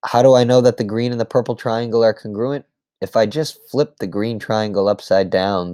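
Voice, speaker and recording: Deep-voiced, slightly hoarse male teacher. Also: a little mellow, no room sound, studio quality. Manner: patient step-by-step explaining. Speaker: math teacher. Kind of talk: screen-recorded lesson recap.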